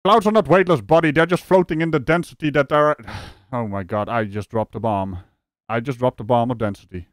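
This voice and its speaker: A man from the Netherlands hosting a live stream, reacting to a clip with animated, mocking commentary and frequent laughs. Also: mid-range voice, fairly quick, dry recording, clean studio sound. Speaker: streamer host.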